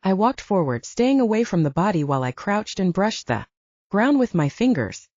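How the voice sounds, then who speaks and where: A woman's voice: medium pitch, very animated, moderate pace, a little mellow, no echo. A woman reading first-person fiction as a scripted audiobook narration. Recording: dull lo-fi, very dry.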